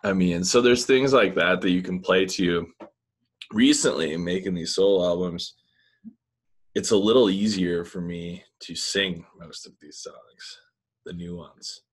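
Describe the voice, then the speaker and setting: A man with a very low voice talks in a relaxed, meandering way, leaning on "you know" and "like" as he answers. He is in his thirties and speaks into a laptop mic on a video call from a small room. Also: measured pace. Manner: relaxed, rambling, many fillers. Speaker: musician guest. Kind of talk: casual video-call interview. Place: small room with blinds, indoors. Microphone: laptop webcam mic on video call.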